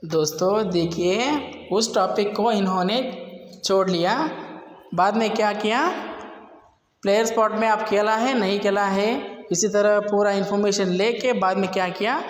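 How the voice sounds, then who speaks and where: A man's voice: high-pitched, fairly even intonation, moderate pace. A man who is not a native Hindi speaker, earnestly explaining a recorded phone call and pleading with viewers to listen. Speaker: channel host commenting on call recording. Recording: echoey room with long reverb.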